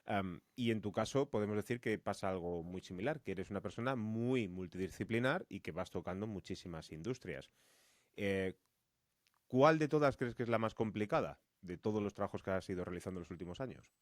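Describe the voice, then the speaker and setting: A man's voice: lowish voice, expressive, a little fast, no room sound, studio quality. A man co-hosting a podcast, chatting casually in a wordy, roundabout way. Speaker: podcast co-host. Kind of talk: casual interview conversation.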